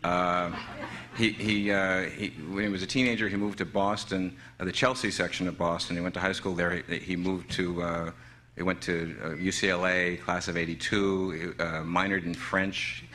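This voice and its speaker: Low-voiced man, halting comic storyteller. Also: level tone, sharp tone. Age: middle-aged. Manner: halting, stammering comic delivery. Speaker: director telling an anecdote. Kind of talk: humorous anecdote to an audience. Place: indoor stage. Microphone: podium microphone. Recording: noisy live stage recording.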